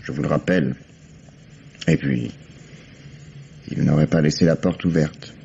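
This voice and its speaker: Low husky old-radio police chief, calm and dry, dull lo-fi sound. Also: male voice, slight background noise, exaggerated voice. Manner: measured, dry ironic authority. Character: shrewd calm male police commissioner. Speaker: police commissioner. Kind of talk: 1950s French radio drama acting.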